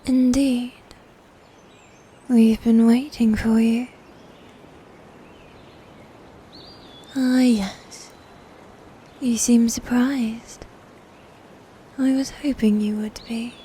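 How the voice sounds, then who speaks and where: A woman playing a sadistic, taunting medieval knight in a dark fantasy ASMR roleplay, mocking and formal with breathy whispers. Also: fairly high pitch, fairly even intonation, slight background noise. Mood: smug, taunting. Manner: slow, mocking, formal old-fashioned speech with breathy whispers.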